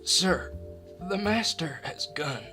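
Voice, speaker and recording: A man's voice: on the high side, lively intonation, slow, pushed and bright, heavily acted. A man playing a deferential, nervous manor butler in a radio drama, formal and hesitant in manner. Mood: shaken. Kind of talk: audio drama acting. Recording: music bed behind the voice.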